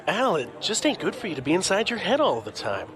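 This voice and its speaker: A man's voice: high voice, huge pitch swings, fairly quick, over music. An actor playing an easygoing, teasing male sidekick with a playful manner.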